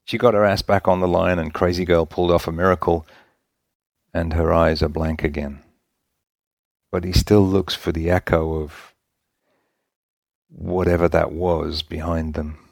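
Guy reading a story out loud, really low voice, folksy narration, super dry recording. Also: flat delivery, unhurried, creaky, pauses often, dry recording. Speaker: story reader. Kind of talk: scripted narration of fan fiction in folksy vernacular.

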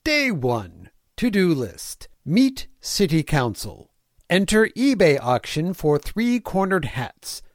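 A man performs a small-town mayor who is cheerfully evil and fussy about cleanliness. His voice sits a little high and swings expressively through clipped, folksy diary entries in a comic fan-fiction reading. The sound is dry and close. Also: very animated, slow pace, dry recording, heavily acted. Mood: brisk musing. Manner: folksy, prim, clipped list-like diary delivery. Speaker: fan audio drama voice actor.

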